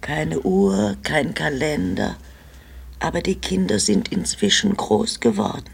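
An actress playing a frail, tender old grandmother in a radio drama, forgetful and resigned, her voice gravelly and half-whispered. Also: low-pitched, big pitch swings, exaggerated voice. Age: older. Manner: slow, musing.

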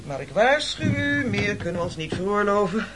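Through clear noise and clicks comes a pompous castaway boss in a radio play. He bosses and accuses in formal, oratorical phrases, with lively swings of pitch. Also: normal speed. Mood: pompous threatening. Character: domineering, pompous male castaway leader plotting cannibalism. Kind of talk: absurdist radio play acting. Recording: noisy, clicky old radio recording.

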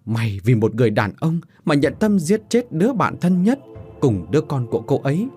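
A man's voice: mid-range voice, big pitch swings, normal speed, mellow, faint music behind, natural voice. A man voicing a vengeful female ghost who speaks in a raised, anguished voice. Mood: vengeful fury.

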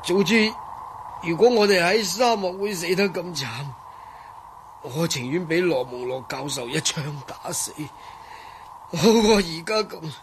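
A man acting a naive, excitable, talkative sidekick stranded in the desert in a Cantonese radio drama, exclamatory in manner. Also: sits high, measured pace, pauses often. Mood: despairing. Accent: Cantonese, Hong Kong. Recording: faint background noise.

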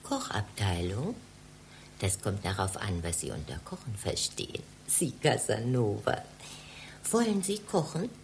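A woman's voice: extremely low pitch, big pitch swings, creaky, darkish tone, slight background noise, full character voice. An actress in a radio play playing a seductive, mocking woman who teases coquettishly in a library. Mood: teasing, seductive. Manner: slow, teasing, coquettish.

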